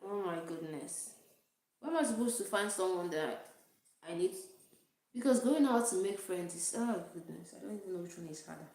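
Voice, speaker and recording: A woman's voice: fairly low pitch, a little mellow. Nigerian woman chatting in an echoey room. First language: Igbo. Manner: rambling, self-deprecating, laughs often. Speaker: vlogger. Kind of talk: casual livestream chat.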